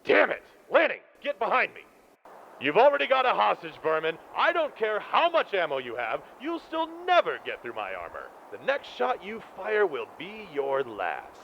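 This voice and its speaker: Man acting a zealous paladin, commanding, wildly animated pitch, gravelly, slightly lo-fi radio play. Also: moderate pace, a little hiss or hum, exaggerated voice. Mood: defiant anger. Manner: forceful, commanding, militant. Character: proud, defiant armored paladin soldier, zealous and commanding. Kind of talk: post-apocalyptic audio drama acting.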